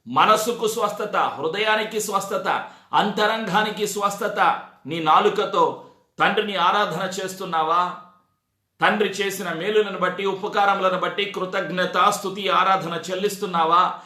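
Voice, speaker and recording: A man's voice: high-pitched, moderate pace, sharp tone. A man preaching a sermon, exhorting his congregation with repeated phrases and rhetorical questions. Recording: echoey, reverberant sound.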